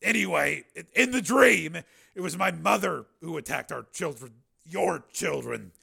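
A man voicing a weary, guarded male cyborg who talks in a tense, clipped, forceful way. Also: fairly high pitch, animated, unhurried, vocal fry, husky, voice pushed up, heavily acted. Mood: haunted.

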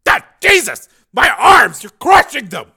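Man acting a high, strained, whining henchman. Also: huge pitch swings, a little slow, gravelly, sharp tone, breathy edge, full character voice. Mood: agonised. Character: cowed, pained male henchman, whining and pleading.

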